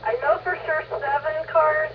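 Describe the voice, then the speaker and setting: A woman with a mid-pitched voice rambles chattily over amateur radio. Her transmission is thin and phone-like, sounding distant, with some scratchy noise. The talk is casual and unscripted. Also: fairly even intonation, unhurried. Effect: two-way radio.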